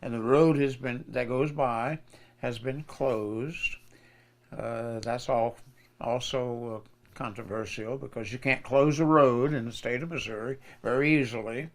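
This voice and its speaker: An American man answers in an interview without a script. His voice sits at an average male pitch, and he phrases things haltingly as he explains. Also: fairly even intonation. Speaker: foundation director being interviewed. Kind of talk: unscripted interview remarks.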